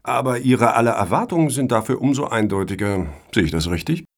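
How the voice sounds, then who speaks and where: A man's voice: mid-range voice, lively intonation, brisk. A man playing a formally polite but pointed foundation official in a satirical radio play, with an officious way of talking. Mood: politely pointed.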